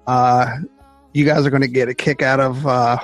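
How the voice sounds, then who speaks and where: A man's voice: medium pitch, level tone, moderate pace, no echo, faint music behind, low-quality recording. A man co-hosting a podcast, upbeat and giggly, asking the guest questions. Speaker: podcast co-host. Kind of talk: casual podcast chat.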